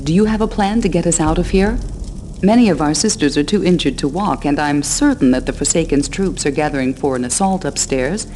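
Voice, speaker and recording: An actress playing a proud, stern older sorceress in a fantasy game, speaking formally and pointedly with protective resolve. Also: female voice, low voice, a little fast, dark tone, a little hiss or hum, close to an everyday voice. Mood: wary, pointed. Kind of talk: dramatic fantasy game cutscene acting. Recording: game music behind the voice.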